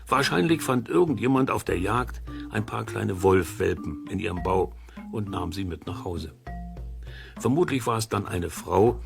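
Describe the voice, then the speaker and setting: A man's voice: low-pitched, creaky. A man narrating an educational audio play for children, explaining things simply and conversationally with playful comparisons. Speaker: host and storyteller.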